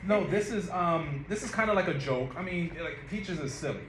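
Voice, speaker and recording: A man giving casual rehearsal notes as an audio play's director, explaining haltingly and demonstrating lines as he goes. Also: high voice, a bit bright. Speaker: writer and director of an audio play. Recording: echoey room with background noise.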